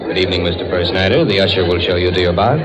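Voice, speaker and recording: An actor playing a courteous theater ticket-taker, formally calling out in old-time radio drama style. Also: male voice, low-pitched, racing, lightly acted. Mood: courteous, official. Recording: dull vintage radio, busy crowd noise.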